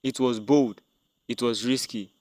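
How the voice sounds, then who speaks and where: A man's voice: mid-range voice, fairly even intonation, a little slow, a little mellow, no room sound. A man narrating a dramatic scripted tale as a storyteller.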